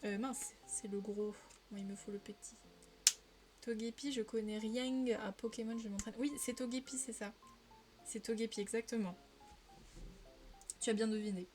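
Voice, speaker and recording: A woman's voice: on the high side, steady tone, normal speed, pauses often, dark tone. A young woman from the Paris region chatting casually on a live stream while she colors, often laughing and answering viewers' messages. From Paris region, France.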